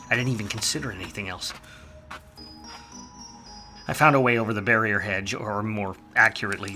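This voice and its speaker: An actor playing a hardboiled, wisecracking private detective in a 1940s film noir radio play, wry and slangy. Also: male voice, on the low side, close to an everyday voice.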